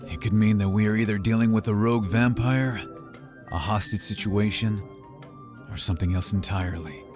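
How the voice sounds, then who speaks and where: A man's voice: on the low side, moderate pace, music in the background, natural voice. A man playing an expert, easygoing hunter who talks in a casual, matter-of-fact way in a fantasy audio roleplay. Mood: serious, briefing. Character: expert male hunter, easygoing, loyal, practical team partner. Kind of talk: scripted fantasy audio roleplay addressed to the listener.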